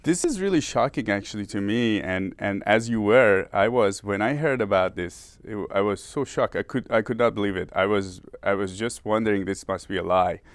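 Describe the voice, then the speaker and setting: Deep-voiced male CEO from Iran, earnest with frequent restarts, dry close sound. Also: normal speed, hardly any pauses, no echo. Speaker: company CEO guest. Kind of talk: interview talk.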